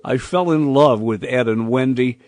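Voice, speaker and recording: An older American man from Indiana narrating a scripted personal reminiscence as host, in a measured storyteller's way. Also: medium pitch, a little mellow, dry recording. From Indiana, United States.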